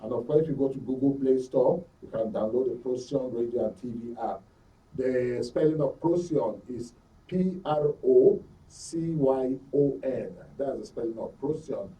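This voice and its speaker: A Nigerian man presents a live current affairs programme. His voice is very mellow and dark, at a middling pitch. He sounds set back from the microphone. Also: moderate pace, recorded from a distance, low-quality recording. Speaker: radio and TV talk show host.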